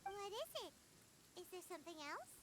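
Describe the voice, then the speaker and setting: An extremely high, squeaky little fairy sidekick for a video game. She is chirpy and cheeky, bouncing all over her range like an excitable child. Loud noise runs behind the voice. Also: measured pace, heavily acted. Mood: curious. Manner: squeaky, chirpy, refers to herself in third person. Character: tiny chatty childlike female fairy companion, cheeky and excitable. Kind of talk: English video game voice acting.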